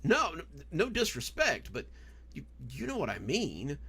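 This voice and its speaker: A man's voice: on the high side, huge pitch swings, unhurried, bright, forceful tone, breathy edge, voice pushed up, heavily acted. A man voicing a blunt, uneasy man who questions his companions in an audio horror story. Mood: incredulous, uneasy. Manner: questioning, blundering.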